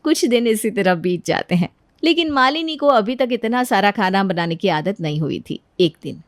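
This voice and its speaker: A woman's voice: mid-range voice, animated, moderate pace, no room sound. A woman reading scripted narration for a family story.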